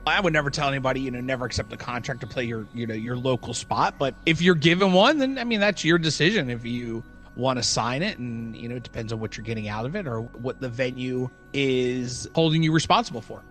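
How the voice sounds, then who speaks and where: A man's voice: mid-range voice, quick pace, hardly any pauses, a bit bright, faint music behind. A man co-hosting a podcast in casual chat, agreeable and somewhat tentative in how he phrases things. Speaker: podcast co-host.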